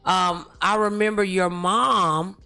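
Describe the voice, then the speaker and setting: Slow-talking woman host, bright voice. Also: mid-range voice, fairly even intonation, gravelly, clean tone. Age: middle-aged. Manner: chatty, familiar. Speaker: talk show host. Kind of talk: casual interview.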